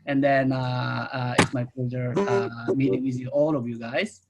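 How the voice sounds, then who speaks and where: A middle-aged man from Japan with a Japanese accent, speaking non-native English haltingly in an informal talk about himself on a video call. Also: medium pitch, background noise. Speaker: winemaker, guest speaker.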